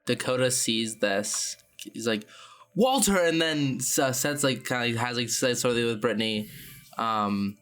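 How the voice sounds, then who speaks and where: A man of medium pitch narrates his character's actions in a tabletop game. He is excitable and stammers as he improvises casually, with faint music behind him. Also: measured pace, smooth. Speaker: tabletop player.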